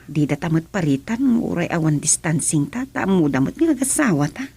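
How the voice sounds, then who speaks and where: An actress playing a caring, coaxing wife in an Ilocano radio drama-comedy, with an Ilocano accent. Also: female voice, deep voice, sing-song, a little fast, dark tone, slightly rough, full character voice. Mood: tender. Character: caring, coaxing wife nursing her sick husband.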